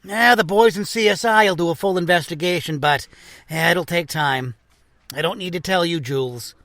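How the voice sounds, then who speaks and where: A gruff, blunt senior police officer in an audio drama. His voice is sharp and forceful, sitting a little high for a man. He is brusque and prejudiced, recorded close and dry. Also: moderate pace, no room sound. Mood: grim, weary.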